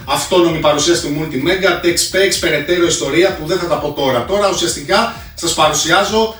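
A man's voice: on the high side, fairly bright tone. A man, presenting casually as a video host, chatty and slangy with bits of English collector jargon. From Greece. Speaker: host of a retro console collecting channel. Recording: echoey room, distant mic, music behind.

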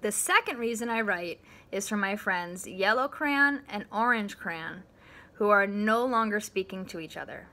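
A woman in her thirties reading a children's storybook aloud with measured phrasing. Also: mid-range voice, expressive, pushed and bright. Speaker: school staff member reading aloud. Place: living room at home.

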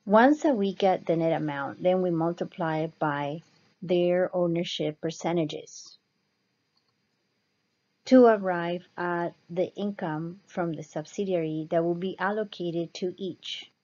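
A woman instructor explaining slides step by step in a measured lecture style. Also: fairly low pitch, a little mellow. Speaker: accounting instructor. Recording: dull, muffled lo-fi voice-over.

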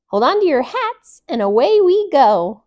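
A gushing, boastful lady elephant from a storybook, voiced by a woman reading aloud. She piles up superlatives in a high, loud, bouncy voice. Everything comes out as an exclamation. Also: unhurried. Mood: exuberant. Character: boastful, excitable female elephant.

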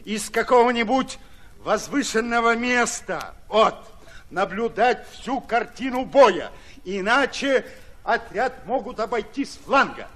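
An actor playing a brash, hot-tempered Red Army commander in a radio play, lecturing in rustic, emphatic colloquial speech. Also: male voice, very high voice, expressive, unhurried, a bit bright, heavily acted. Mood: emphatic, instructive.

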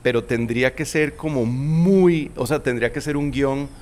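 A man's voice: mid-range voice, normal speed, darkish tone. A man in his forties with a Costa Rican Spanish accent, a guest in a casual interview on a shaded veranda, speaking thoughtfully with many restarts. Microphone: studio mic on boom arm.

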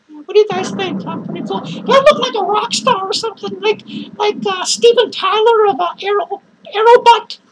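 A woman playing an unhinged, drunk male clown who rambles crudely, cackles manically and lurches between shouts and mutters. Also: very high-pitched, a little hiss or hum, poor audio. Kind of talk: improvised character monologue to viewers.

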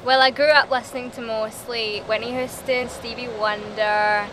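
A woman's voice: a little high, fairly even intonation, moderate pace, over loud music. A young woman from Scotland with a Scottish accent, talking earnestly and gushingly to camera about her singing career. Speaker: aspiring singer. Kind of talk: promotional profile talk to camera. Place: studio and city streets.

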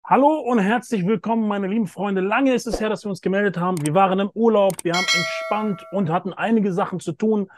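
A man's voice: sits high, a little fast, hardly any pauses, soft background music. A man in his thirties hosting a video-call interview outdoors, talking emphatically and repeating phrases for stress. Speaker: host. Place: outdoors by a pool with greenery. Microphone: phone or laptop camera, video call.

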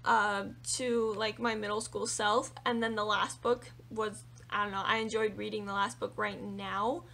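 A young woman book vlogger chatting casually and animatedly about her reading, her voice a little raspy from singing. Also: medium pitch, measured pace, hardly any pauses. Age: young adult. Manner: animated, chatty, lots of fillers and hand gestures. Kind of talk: casual monthly reading wrap-up. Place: small room with bookshelves indoors.

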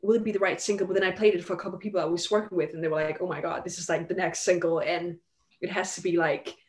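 A woman's voice: lowish voice, steady tone, racing, slight background noise. A young woman from Denmark, speaking English as a later language, chatting on a video call with lots of fillers. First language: Danish. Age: young adult. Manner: chatty, many fillers and likes. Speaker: guest singer. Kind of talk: casual interview chat. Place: home room indoors. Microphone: headset on video call.

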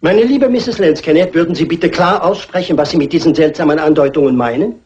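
An actor playing a formal, precise and courteous family lawyer in a dubbed crime film. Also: male voice, on the high side, a little fast, darkish tone, slight background noise, natural voice. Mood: stern. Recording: dull old film soundtrack.

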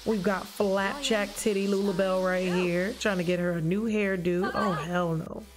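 A young woman livestreaming a life-sim game, chatting casually with her viewers in a lively, joking, profane way on a headset mic. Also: medium pitch, normal speed. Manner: lively, joking, talks back to chat, profane slang. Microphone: headset mic at desk. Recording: game music playing behind.

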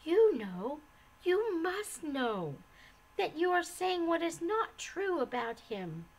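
A woman voicing a kind, loving female friend in a storybook, speaking in a gentle, earnest, reassuring way. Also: very high-pitched, sing-song, slow pace, voice pushed up, exaggerated voice, rough recording.

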